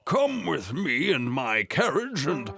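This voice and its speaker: A man playing an old, commanding king in a cartoon storybook, slow and regal. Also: on the high side, wildly swooping pitch, vocal fry, music in the background, heavily acted.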